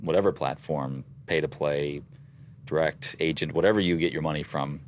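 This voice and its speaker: A man's voice: extremely low pitch, steady tone, moderate pace, slight background noise. A man with a slight Midwestern accent, co-hosting a casual business chat and methodically reading out his weekly numbers. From American Midwest. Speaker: voice actor and co-host.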